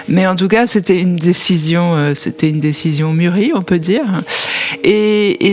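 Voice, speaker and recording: A woman's voice: sits low, gravelly, darkish tone. A woman in her forties from Paris, an author answering interview questions in a reflective, hesitant way, with many fillers and restarts. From Paris, France. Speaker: author guest.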